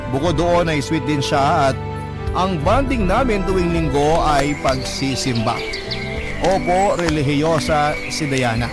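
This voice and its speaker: A man narrating a first-person love story in a polite, measured storytelling manner. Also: a little high. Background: loud background music.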